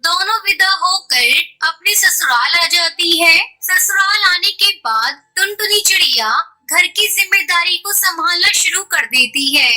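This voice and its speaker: A woman's voice: very high-pitched, expressive, a little slow, few pauses, bright, forceful tone, low-quality recording. A woman narrating a scripted children's cartoon story as the storyteller.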